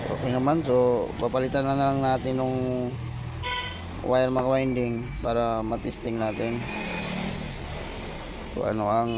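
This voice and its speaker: Loud traffic-like ambient noise surrounds a man narrating a hands-on repair. His delivery is flat and halting, with frequent repetition. He speaks casually to his viewers at a middle pitch. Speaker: electronics repair vlogger.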